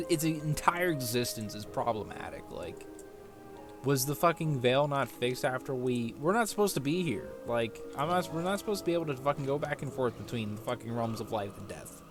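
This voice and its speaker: Guy streamer ranting about a game, sweary n exasperated, normal pitch, music playing behind. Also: lively intonation, moderate pace. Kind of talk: casual gameplay commentary, ranting.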